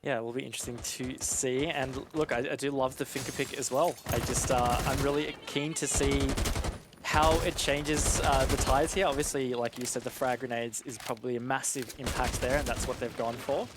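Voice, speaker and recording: A man with a medium-pitched voice provides live esports analysis. His delivery is conversational and explanatory, like a co-commentator breaking down play. Game gunfire and clicks are clearly audible behind him. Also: fairly even intonation, moderate pace, very noisy. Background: game sound with gunshots.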